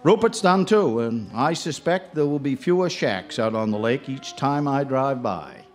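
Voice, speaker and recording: A man's voice: mid-range voice, lively intonation, measured pace, soft background music, lightly acted. An actor playing a lonely, wry Maine ice fisherman telling a ghostly tale in a live radio drama. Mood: wry, rueful. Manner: wry, folksy. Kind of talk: live radio drama first-person storytelling. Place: live on stage in an auditorium. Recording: noisy live stage recording.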